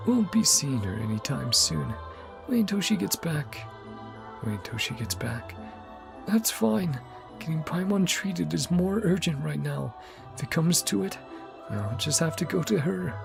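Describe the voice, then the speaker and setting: Gravelly, animated male gamer. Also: on the high side, normal speed. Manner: rambling, musing, easily amused. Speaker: gaming content creator. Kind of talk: casual game playthrough commentary. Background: game music.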